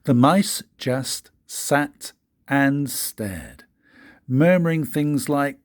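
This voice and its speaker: An older man reads a children's story like a grandfather, in an expressive, deliberate way with dramatic pauses and a husky voice. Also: medium pitch. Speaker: grandfather reading stories for his grandchildren.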